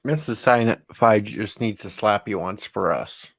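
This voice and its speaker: Low-voiced man with dry wisecracks. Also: a little slow, creaky, fairly bright tone, dry recording. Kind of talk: casual chat.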